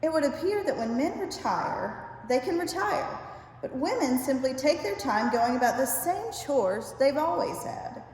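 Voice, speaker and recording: An older woman reads a humorous essay aloud with expressive, wry storytelling delivery. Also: sits high, unhurried. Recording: very echoey reverberant room, faint hiss.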